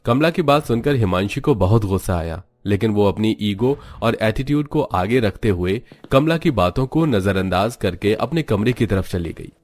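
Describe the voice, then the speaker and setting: A man narrating a cartoon story in a steady, dramatic storytelling manner. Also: lowish voice, normal speed, hardly any pauses, dry recording.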